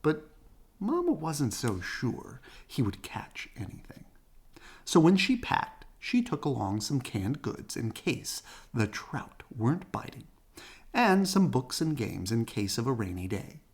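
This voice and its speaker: Slow, animated storybook reader. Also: mid-range voice, breathy edge. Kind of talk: expressive children's read-aloud narration.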